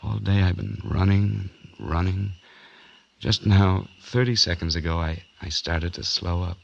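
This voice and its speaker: An actor playing a tense young man on the run in a 1940s radio noir drama, with urgent, halting delivery. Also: sits low, fairly even intonation, gravelly. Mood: tender. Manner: urgent, halting under stress. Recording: dull, hissy vintage radio recording.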